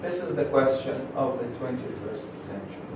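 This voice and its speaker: A Russian man speaking English as a second language, giving a halting seminar introduction with many pauses. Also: medium pitch, expressive, a little mellow, slight background noise. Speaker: lecturer. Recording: roomy echo from far off.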